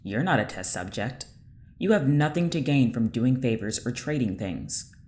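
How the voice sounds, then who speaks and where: A woman's voice: very deep voice, lively intonation, normal speed, a little mellow. A woman playing a guarded, distrustful prisoner who speaks tersely and dryly in an audio drama. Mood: skeptical. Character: curt, suspicious, guarded female prisoner and computer tinkerer.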